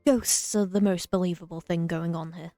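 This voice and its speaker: Female gaming commentator, relaxed dark tone, close dry sound, joking asides in a casual chatty style. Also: fairly low pitch, moderate pace, dry recording. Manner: wry, joking. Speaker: let's player. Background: game music.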